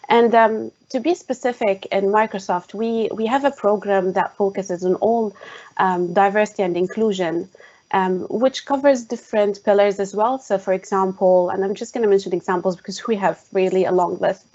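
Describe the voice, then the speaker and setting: A woman with a Jordanian Arabic accent speaks in a middle pitch on an online call. She pauses often to fill gaps with "um" and restarts her sentences as she explains her views. The sound is muffled and lo-fi. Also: steady tone, darkish tone. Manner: hesitant, many "um" fillers. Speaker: tech specialist guest. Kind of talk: online panel conversation. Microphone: video call.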